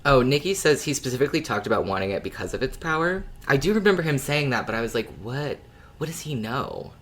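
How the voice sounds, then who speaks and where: Informal, rambling podcast co-host, medium-low voice. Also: expressive, fast. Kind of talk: casual podcast chat about an audio drama.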